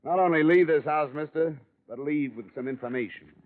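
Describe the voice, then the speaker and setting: An American actor playing a wry, tough investigator with a deadpan, clipped delivery in 1950s radio drama. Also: male voice, fairly high pitch, brisk, lightly acted. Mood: cool, defiant. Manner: hard-boiled, deadpan, clipped. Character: wry, tough, dogged American insurance investigator telling his case. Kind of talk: 1950s radio detective acting and narration. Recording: muffled 1950 radio broadcast, music behind.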